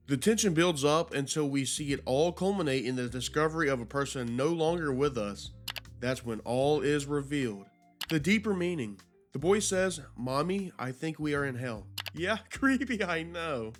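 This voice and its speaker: Guy with a slightly high voice doing casual youtube narration, chatty and slangy, music under him. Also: lively intonation, normal speed. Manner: informal, slangy, conversational. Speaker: YouTube host. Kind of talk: casual video commentary, narration.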